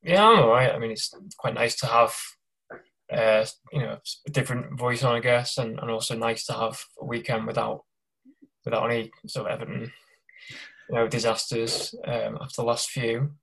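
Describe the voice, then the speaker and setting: A man's voice: medium pitch, fairly even intonation, normal speed, creaky, a bit bright, husky, rough recording. A man co-hosting a podcast, talking in an easygoing, rambling way. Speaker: podcast co-host. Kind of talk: casual podcast chat.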